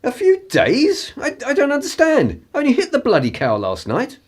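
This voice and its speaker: An actor playing a pompous, articulate upper-class political radical in a radio play, with a husky voice. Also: male voice, extremely high pitch, wildly swooping pitch, normal speed, exaggerated voice. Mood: indignant. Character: indignant, haughty upper-class male political radical and spy.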